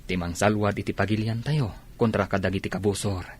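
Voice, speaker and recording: An actor with a northern Philippine accent playing a calm, soft-spoken soldier husband in a radio drama. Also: male voice, on the low side, a little fast, a little hiss or hum, close to an everyday voice. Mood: reassuring.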